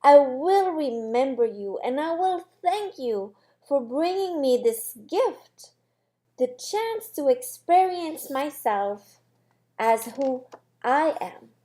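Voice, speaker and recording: Woman putting on an eager, high-pitched little soul. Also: lively intonation, slow pace, warm tone. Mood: earnest promise. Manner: exclaiming, earnest. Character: eager, excitable, innocent little soul, childlike and earnest.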